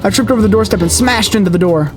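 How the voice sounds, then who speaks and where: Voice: medium pitch, very fast. A streamer reading a horror story aloud with dramatic expression and the occasional stumble.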